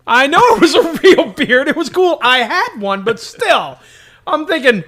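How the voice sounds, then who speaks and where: Man, podcast host, high-pitched, forceful, casual joking chat, digressive. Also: big pitch swings, a little slow.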